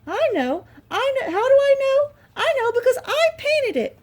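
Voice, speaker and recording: A woman voicing a tiny shy young female mouse who paints, in a high, squeaky, earnest voice. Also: sing-song, normal speed. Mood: insistent.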